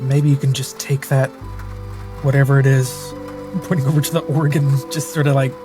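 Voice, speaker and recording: A man roleplaying casually as a player in a tabletop game, in a halting, dry manner. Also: mid-range voice, little pitch movement, over music.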